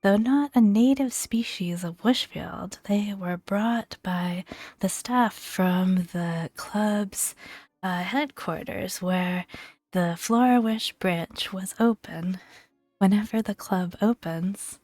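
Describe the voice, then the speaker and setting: A woman hosting a soft-spoken ASMR gaming video, talking gently and fondly in a hushed voice that often slips toward a whisper. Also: mid-range voice, takes it slowly, dry recording, soft background music. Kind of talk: soft-spoken ASMR, relaxed commentary and read-aloud entries.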